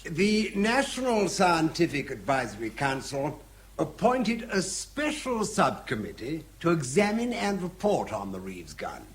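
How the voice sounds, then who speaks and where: A man's voice: a little high, wildly swooping pitch, unhurried, slight background noise, exaggerated voice. An actor playing a pompous, self-important chairman of a scientific council in a radio drama, formal and wordy.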